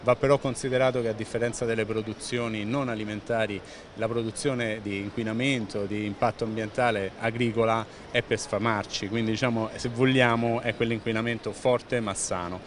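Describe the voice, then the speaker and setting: An Italian-accented man in his fifties speaks in a fairly low voice, answering a reporter's questions with steady earnestness and scattered "eh" fillers. He talks into a handheld microphone held close. A large expo hall carries a clear hum of noise behind him. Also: brisk, hardly any pauses. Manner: fluent, earnest, frequent "eh" fillers and restarts. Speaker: president of an environmental organization. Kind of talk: on-site interview answers. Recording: noisy venue background.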